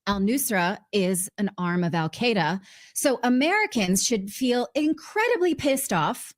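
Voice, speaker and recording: A woman's voice: mid-range voice, lively intonation, unhurried. A woman hosting a political interview, asking pointed, assertive questions. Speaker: interview host.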